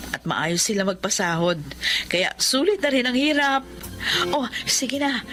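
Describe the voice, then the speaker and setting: An earnest, self-sacrificing young wife from a radio drama. Her voice sits a little high and tender, and music plays clearly underneath. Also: lively intonation, a little slow, fairly bright tone. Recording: clear music bed behind.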